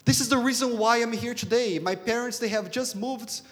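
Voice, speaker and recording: A man's voice: very high-pitched, normal speed, a bit bright. A man from Brazil with a Brazilian accent and Portuguese as his first language, preaching a sermon in an emphatic, repetitive way with rhetorical questions. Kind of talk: sermon to a congregation. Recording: reverberant hall sound.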